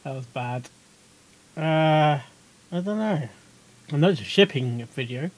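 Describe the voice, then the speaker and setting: A man's voice: fairly high pitch, lively intonation, a little slow, a little mellow. A man hosting a reaction video, commenting casually with rambling, chuckling, muttered interjections. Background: music.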